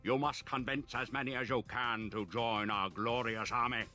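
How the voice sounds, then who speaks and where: An actor playing a stern, commanding Mongol war leader in a strategy game, with a theatrical, forceful delivery. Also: male voice, mid-range voice, fairly even intonation, moderate pace, soft background music, natural voice.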